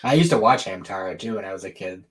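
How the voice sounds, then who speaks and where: A man's voice: deep voice, animated, fast talker, fairly bright tone. A man co-hosting a podcast, talking casually and offhandedly in an informal conversational way. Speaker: podcast co-host. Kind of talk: casual chat about anime and games.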